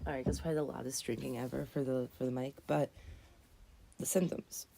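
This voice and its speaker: A woman in her twenties, raspy and just woken up, rambling earnestly and correcting herself as she hosts a casual live chat. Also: extremely low pitch, fairly even intonation, a little fast, warm tone, a little hiss or hum, poor audio. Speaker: livestream host.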